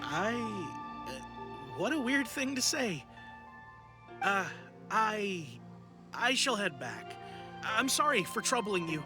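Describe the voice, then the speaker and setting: Earnest nervous villager, swooping pitch, bright tone, anime game, soft music behind. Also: female voice, very animated, takes it slowly, long pauses. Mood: bewildered, hesitant. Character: anxious, superstitious, grateful townsperson convinced of being cursed. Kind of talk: anime video game voice acting.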